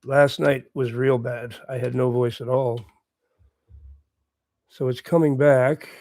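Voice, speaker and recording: A man with a hoarse voice from a recent bout of laryngitis, hosting a casual livestream and chatting quietly and encouragingly with his viewers. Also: medium pitch, fairly even intonation, takes it slowly, no echo. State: hoarse, recovering lost voice. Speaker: livestream host. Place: at home with morning coffee.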